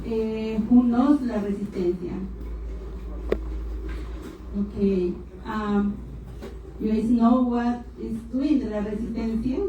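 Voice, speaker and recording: A woman speaking at a panel event, talking in short bursts with hesitations and pausing for her interpreter. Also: fairly high pitch, fairly even intonation, muffled recording. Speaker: panel speaker for an immigrant rights group. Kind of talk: public talk in Spanish, paused for interpretation. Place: university hall event. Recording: echoey hall, distant mic, faint noise.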